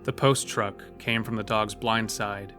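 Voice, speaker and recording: A man's voice: lowish voice, steady tone, unhurried. A man narrating a scripted horror story as the storyteller of an audio drama. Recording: music bed under the voice. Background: loud music.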